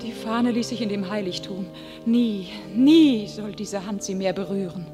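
An actress playing a young peasant heroine in a classic radio drama, speaking impassioned, declamatory verse. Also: female voice, medium pitch, a little slow, warm tone, close to an everyday voice. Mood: wistful. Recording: music under the radio play.

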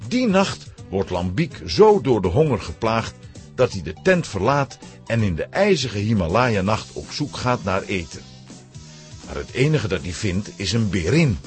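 A man narrating a scripted children's adventure story in an expressive storytelling manner. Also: lowish voice, measured pace, soft background music.

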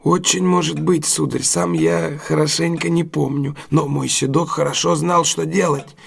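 A humble working cab driver in a radio play. His voice sits fairly high, with gravel and husk in it. He speaks haltingly and respectfully, a little wary but honest. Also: little pitch movement, full character voice. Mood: earnest recounting. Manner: servile, halting, addressing his better respectfully. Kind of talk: audio drama acting.

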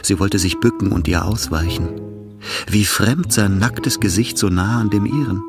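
A man's voice: fairly low pitch, moderate pace. A man reading scripted literary narration for a radio drama. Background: music.

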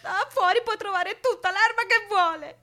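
A woman's voice: very high-pitched, normal speed, sharp tone. A woman acting an eccentric, bossy, childlike lady captor in absurdist radio theatre, speaking with prim formality and earnest, absurd logic. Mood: indignant, imperious.

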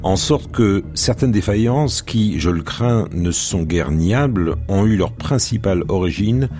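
A man with a very low voice hosts a radio history broadcast. He speaks in a measured, deliberate way, pausing often with fillers as he questions his guest. When he reads a text aloud, he keeps it grave and even. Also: faint music behind. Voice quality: deep, measured, with hesitations. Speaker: radio history show host. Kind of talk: radio interview and reading.

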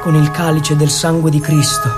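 A man narrating a scripted theatre piece in a solemn, measured, declamatory way. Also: a little high, little pitch movement, over music. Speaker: actor and narrator.

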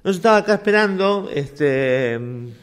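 A man's voice: high-pitched, animated, normal speed, clean tone. A man with an Argentine accent playing a flustered, evasive fellow who stammers through an improvised radio theatre exercise. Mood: awkward, evasive. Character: flustered, evasive man muttering foul-mouthed asides.

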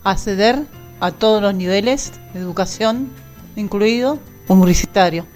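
Mid-pitched woman presenting over music. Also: normal speed, darkish tone. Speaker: radio presenter and reader. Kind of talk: amateur radio presenting.

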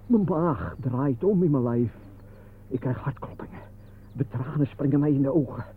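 An actor playing a fussy, self-pitying gluttonous office worker, melodramatic and lamenting, in a radio play. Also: male voice, medium pitch, moderate pace, breathy edge, lightly acted. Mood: queasy, self-pitying. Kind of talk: absurdist radio play acting. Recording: narrow, phone-like old radio recording.

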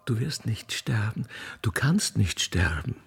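A man voicing a deferential cherub, speaking gently and reverently in a hushed way, in a theatrical radio play. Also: lowish voice, big pitch swings, measured pace, creaky, husky, long reverb tail, pitched below the performer's own voice, exaggerated voice. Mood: reverent, reassuring. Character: soothing, deferential male cherub attending an aged God.

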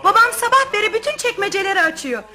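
Very high, chirpy young daughter. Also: female voice, lively intonation, fast talker, pushed and bright, heavily acted. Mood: cheerful. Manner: chatty, effusive. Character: chirpy, teasing young daughter, engaged to marry. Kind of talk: radio theatre acting.

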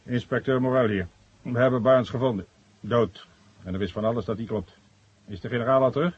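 A man's voice: mid-range voice, a little fast, husky, dull audio, lightly acted. A male actor playing a stern, sarcastic police inspector in a 1970 radio drama, reproving and commanding. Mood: businesslike.

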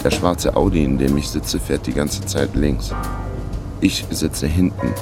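A man's voice: a bass voice, measured pace, music in the background. An actor playing a wry, laconic, down-on-his-luck man of thirty in a radio crime drama. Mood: wry, brooding. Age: 30s. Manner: laconic, sardonic. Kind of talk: radio crime drama first-person narration.

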